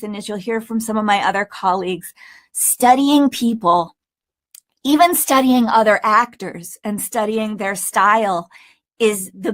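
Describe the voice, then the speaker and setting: A woman coach giving an informal online class presentation, talking in a chatty, emphatic and enthusiastic way. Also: medium pitch.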